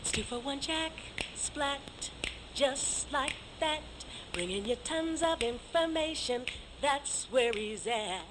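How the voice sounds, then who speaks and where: A woman's voice: high-pitched, animated, slow pace. A woman performing a rhyming theme jingle for a show, with noise in the recording.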